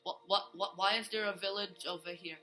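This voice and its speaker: A man's voice: sits high, fairly even intonation, brisk, bright, forceful tone, faint music behind. A male gaming host giving a casual, rambling tour of a Minecraft build, full of fillers and restarts.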